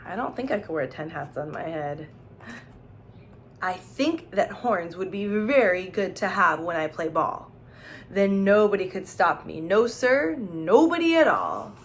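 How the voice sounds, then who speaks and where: Woman, storytime teacher, lively swooping pitch, slow pace, expressive, questioning asides to kids, faint background noise. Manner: expressive, questioning, addresses young listeners directly. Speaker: teacher reading aloud to children. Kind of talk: children's storybook read-aloud with asides.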